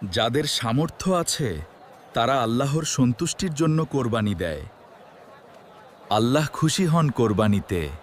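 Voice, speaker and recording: Man acting gentle pious dad, children's cartoon, very melodic delivery, simple teaching phrases, slight noise behind. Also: medium pitch, expressive, measured pace, pauses often, natural voice. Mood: kindly, devout. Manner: instructive, kindly, simple phrasing. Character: gentle, pious father teaching his young son. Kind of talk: children's educational cartoon dialogue.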